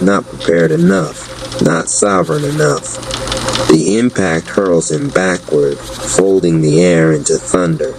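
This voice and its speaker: Male narrator, very low voice, unhurried, solemn epic fantasy storytelling, noisy recording with background rumble. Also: gravelly. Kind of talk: dramatic scripted spoken-word fantasy narration.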